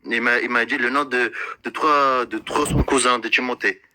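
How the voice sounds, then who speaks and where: A man making an unscripted, accusatory complaint in an insistent, repetitive and halting way, searching for words. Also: medium pitch, fairly even intonation, a crowd in the background.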